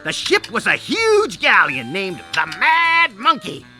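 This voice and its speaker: An eccentric, swooping shopkeeper over music. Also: male voice, extremely high pitch, huge pitch swings, slow pace, exaggerated voice. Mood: scheming. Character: eccentric, shrewd, haggling antique shopkeeper. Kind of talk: animated adventure game character acting. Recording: game music behind the voice.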